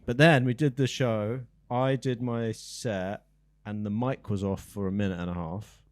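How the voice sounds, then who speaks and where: A man's voice: on the low side, steady tone, unhurried, a little mellow, no echo. A man co-hosting a comedy podcast, joking in a self-deprecating, chatty way. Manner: self-deprecating, mock-pleading. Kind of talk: casual banter.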